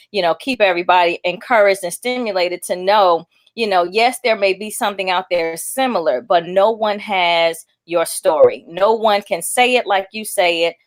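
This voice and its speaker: A middle-aged woman author speaking in a casual panel talk, encouraging and laughing often, repeating phrases for emphasis. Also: on the low side. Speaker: author guest.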